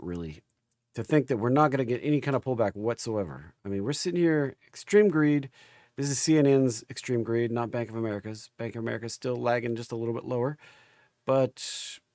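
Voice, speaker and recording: A man with a gravelly voice hosting a market livestream in a loose, folksy, informal chat style while getting over an illness. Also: medium pitch, normal speed, darkish tone, dry recording, clean studio sound. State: recovering from an illness. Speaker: host of a stock market livestream.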